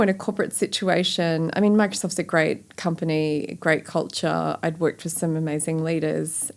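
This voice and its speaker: A woman's voice: deep voice, creaky. A middle-aged woman with an Australian accent, a company founder talking candidly in an interview, with plenty of "you know" and "like". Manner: chatty and candid, many fillers like "you know" and "like". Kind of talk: reflective interview conversation.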